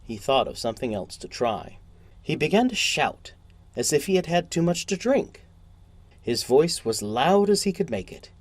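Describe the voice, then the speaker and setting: A man reading a short story as a narrator, in deliberate, measured phrases. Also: on the high side, sing-song, no room sound.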